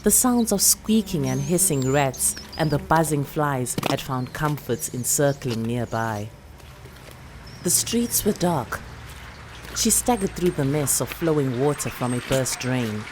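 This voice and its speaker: A South African woman actor narrates a short story in a very low voice. Her reading is slow, deliberate and expressive, with clear noise in the background. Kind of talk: dramatic literary story narration.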